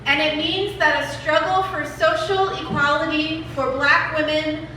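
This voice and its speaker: A woman giving a dramatic reading of a political essay with emphatic, deliberate phrasing. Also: sits high, fairly even intonation, sharp tone. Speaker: reader at a rally event. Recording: echoey hall from far off, faint noise.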